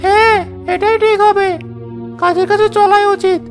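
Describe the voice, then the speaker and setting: A woman's voice: extremely high pitch, flat delivery, normal speed, faint music behind. A woman voicing an opinionated villager in a cartoon tale, stepping in and chiding. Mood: reproachful. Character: opinionated villager weighing in on a dispute. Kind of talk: cartoon story voice acting.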